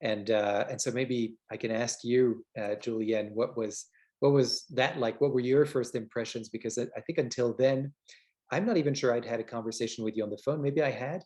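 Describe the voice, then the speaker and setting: A man's voice: medium pitch, steady tone, fairly quick, a little mellow. A man co-hosting a podcast in a conversational interview style, often searching for words and circling back. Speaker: podcast co-host.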